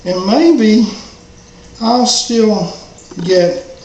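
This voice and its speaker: A man narrates as a humble, hopeful stray dog, with folksy country phrasing. His slightly high voice swings widely in pitch as he tells the story. The recording is roomy and echoey. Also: animated, extremely slow, a little mellow. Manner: folksy, drawling rural phrasing. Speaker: author reading own story. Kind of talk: first-person character storytelling. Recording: roomy echo, faint background noise.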